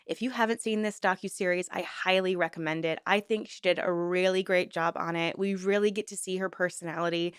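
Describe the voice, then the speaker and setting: A woman's voice: lowish voice, steady tone, fairly quick, few pauses, clear, resonant tone, no room sound, clean studio sound. A woman hosting a true-crime commentary vlog, stuffed up and nasal from a cold, talking in an earnest, conversational way. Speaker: YouTube host.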